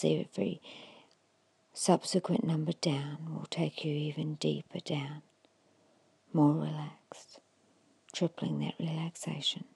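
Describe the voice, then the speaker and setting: A woman giving an ASMR guided hypnosis in a hushed, breathy whisper, slowly and soothingly instructing with long pauses. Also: low-pitched, no room sound. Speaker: hypnotist guiding a meditation.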